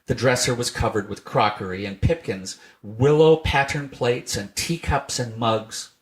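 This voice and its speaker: A man's voice: mid-range voice, measured pace. A man reading a children's tale aloud as scripted storybook narration. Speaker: storybook reader.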